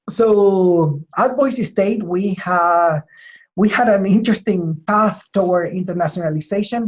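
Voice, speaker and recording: A man from Chile with a Chilean accent explains his work in an interview, in measured, hesitant phrases with repeated words. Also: high voice. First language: Spanish. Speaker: university administrator being interviewed. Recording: dull, lo-fi call audio.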